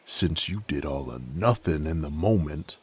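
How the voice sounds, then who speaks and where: A man playing a gruff, sardonic, proud knight in an audio roleplay, with a drawl, folksy slang and a husky, gravelly voice. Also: very deep voice, expressive, measured pace, a little mellow, heavily acted. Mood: angry, defensive. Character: gruff sardonic rough-bred male knight, proud and hot-tempered. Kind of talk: character audio roleplay acting.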